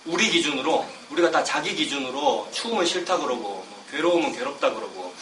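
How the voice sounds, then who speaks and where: A middle-aged man with a cold preaching a sermon, chatting casually between slow scripture readings. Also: a little high, bright, forceful tone. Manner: conversational, self-deprecating asides between slow scripture reading. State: has a cold, coughing. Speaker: pastor. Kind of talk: expository sermon. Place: church sanctuary. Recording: roomy, distant mic with background noise.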